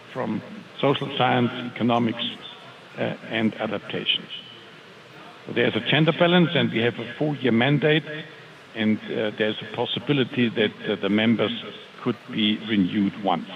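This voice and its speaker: A man presenting to a conference audience in a deliberate, hesitant way, often asking for the next slide. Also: medium pitch. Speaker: board chair presenting. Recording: muffled sound with crowd noise.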